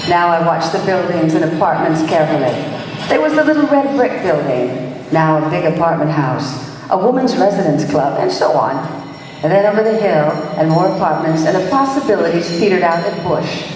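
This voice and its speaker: A woman's voice: lowish voice, animated, normal speed, a little mellow, slight background noise, natural voice. Lady doing a tough wisecracking girl detective, 1940s radio show style, distant in a big echoey hall. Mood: wry narrating. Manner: hard-boiled, wry, slangy. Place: live stage performance in a large room. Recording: echoey hall, recorded from far off.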